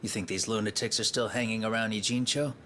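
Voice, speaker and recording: A man acting a glib, streetwise local fixer in an English-dubbed game cutscene, smooth-talking and playfully theatrical. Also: lowish voice, fairly even intonation, fast talker, fairly bright tone, a little hiss or hum, natural voice. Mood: pressing. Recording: music behind the voice.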